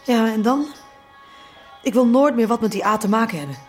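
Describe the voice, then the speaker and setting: A woman's voice: fairly high pitch, expressive, a little fast, faint music behind, lightly acted. An actress playing a guarded, uneasy woman in a Dutch radio drama, hesitant and evasive. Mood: upset.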